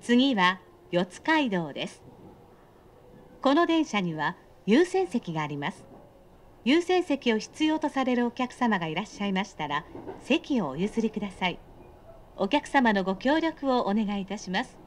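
A woman's voice: a little high, big pitch swings, moderate pace. A woman reading scripted, pre-recorded train announcements in a polite, formal and carefully enunciated way.

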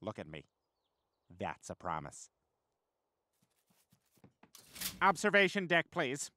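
A man voicing a flamboyant, self-absorbed alien in an animated comedy, with a nasal, catty, theatrical delivery. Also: a little high, normal speed, slight background noise, heavily acted. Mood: scheming.

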